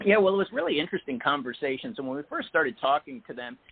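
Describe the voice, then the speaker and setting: A man with a mid-pitched, slightly husky voice answers interview questions. He explains at an even, measured pace and pauses often on fillers. The audio is narrow and phone-like. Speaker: guest, sports executive.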